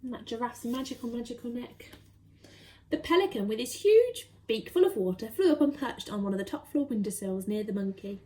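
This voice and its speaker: A woman reading a children's story aloud expressively, with dramatic, deliberate emphasis. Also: on the high side, lively intonation, mellow.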